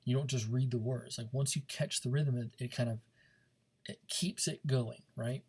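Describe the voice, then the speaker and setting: Male speech teacher, soft-edged dark tone with some rasp, informal coaching delivery for a classroom lesson. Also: mid-range voice, steady tone, moderate pace. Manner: informal, coaching, checks understanding with frequent "okay" and "right".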